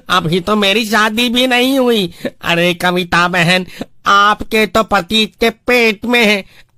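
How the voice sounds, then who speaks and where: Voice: mid-range voice, pushed and bright, no room sound, clean studio sound. An actor voicing a cowardly, panicky villager in a cartoon story. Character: cowardly villagers begging to flee.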